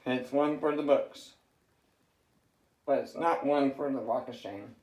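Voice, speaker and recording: A man from San Diego with a rough, worn voice, rambling through a half-sung freestyle and chatty, swearing talk at home. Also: medium pitch, a little slow, a little mellow, roomy sound, rough recording. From San Diego, California. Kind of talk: improvised singing and casual vlog talk.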